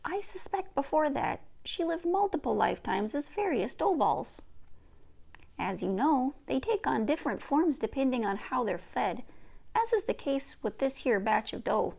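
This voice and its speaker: A woman's voice: sits high, sing-song, moderate pace, gravelly, darkish tone, voice pushed up, exaggerated voice. Woman voicing a folksy old grandmother from a storybook. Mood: musing, remembering. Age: older. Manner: folksy, drawling phrases. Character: folksy old grandmother, plain-spoken, teasing and musing. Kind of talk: children's book read-aloud.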